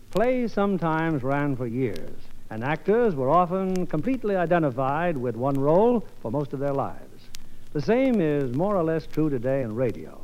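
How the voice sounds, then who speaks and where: A man's voice: on the high side, a little mellow. A man hosting a 1950s radio show with formal, theatrical, polished scripted narration. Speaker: radio show host and producer. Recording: muffled old radio broadcast, music.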